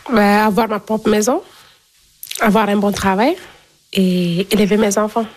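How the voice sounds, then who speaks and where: A woman's voice: mid-range voice, steady tone, a little slow, a little mellow, slight background noise. A young woman being interviewed, giving a casual, personal account of her own life. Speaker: interviewee in a radio documentary.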